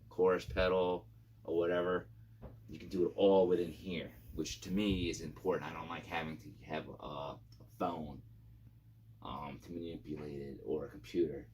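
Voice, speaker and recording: A man with a very low voice talks casually, like a host walking viewers through equipment. His delivery is informal and a bit halting. There is some faint noise behind him. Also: steady tone. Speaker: YouTube channel host. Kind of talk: casual gear overview for guitarists.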